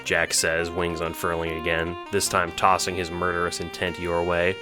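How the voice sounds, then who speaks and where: A man's voice: deep voice, monotone, normal speed, music in the background. A man reading scripted, dramatic second-person narration as the narrator of an audio drama.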